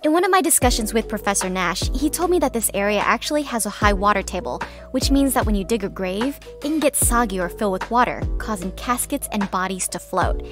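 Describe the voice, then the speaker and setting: A woman's voice: medium pitch, a little fast, few pauses, over music, natural voice. A woman playing a chatty, eager young livestreamer on a vampire hunt in an audio drama, with a casual delivery. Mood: informative. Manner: casual, animated.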